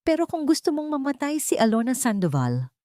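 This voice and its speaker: Female reader playing urgent, secretive Filipina accomplice in an audiobook, pitched up, dry sound. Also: wildly swooping pitch, fairly quick, mellow, no echo. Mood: alarmed, insistent. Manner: insistent, secretive. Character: urgent, conspiratorial woman, loyal morgue attendant friend. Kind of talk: audiobook character dialogue.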